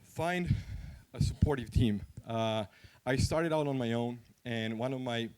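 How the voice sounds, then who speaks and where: A man with a Croatian accent addresses a conference in an informal, conversational way. He speaks candidly, in the manner of someone sharing personal anecdotes and advice, at a medium pitch. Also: a little slow, darkish tone, smooth.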